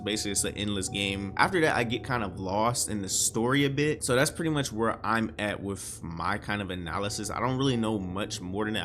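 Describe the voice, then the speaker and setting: A man's voice: fairly low pitch, fairly bright tone. A man giving casual reaction and commentary as a video host, speaking in an informal, slangy way.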